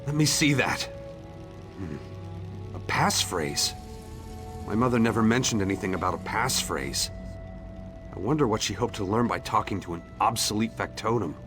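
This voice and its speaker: A man's voice: fairly low pitch, expressive, moderate pace, long pauses, natural voice. A man playing a wry, sceptical townsman in conversational video game dialogue. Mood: shaken, puzzled. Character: wry, sceptical male townsman seeking his fate. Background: faint game music.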